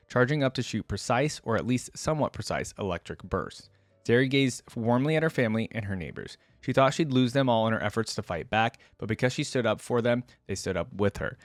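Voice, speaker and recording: A man with a fairly low voice hosts a reaction video, reading story text and adding casual comments. The sound is dry and close, with little room around it. His delivery is informal and conversational. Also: no echo. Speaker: reaction video host and reader.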